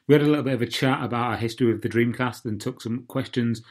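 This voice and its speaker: A man's voice: medium pitch, very fast. A man, a podcast host, reading a short plain introduction to the episode.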